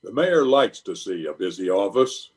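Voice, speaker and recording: Man as a pompous, low, husky uncle. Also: very animated, normal speed. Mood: bossy. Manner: pompous, blustery. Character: blustering, self-important middle-aged uncle, a small-town official. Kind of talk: old-time radio comedy acting.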